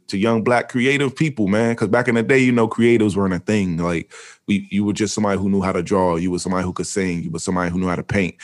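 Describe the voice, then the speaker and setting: Casual young male podcast co-host, lowish voice, animated, rambling talk. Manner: animated, rambling, laughs often.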